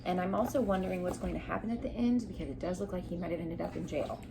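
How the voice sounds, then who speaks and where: A woman's voice: on the low side, quick pace. A young adult woman teacher explaining and asking children questions in a read-aloud lesson, recorded at home. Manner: explanatory, prompting. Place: living room at home, on a couch. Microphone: camera a short distance away. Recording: clearly noisy home room sound.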